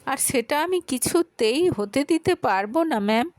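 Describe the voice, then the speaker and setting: A woman's voice: sits high, lively intonation, a little slow, dry recording, pitched above the performer's own voice. A woman voicing a frightened, pleading college girl in her early twenties for a dramatic audio story. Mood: tearful, distressed. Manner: pleading, anxious, defensive.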